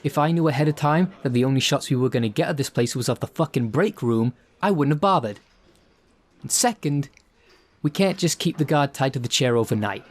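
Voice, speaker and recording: A man acting out a pragmatic film director in an audio drama. He talks briskly in a medium register, placating and a little dismissive, like someone weary of budget limits. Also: mid-range voice, expressive, natural voice. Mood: weary, firm.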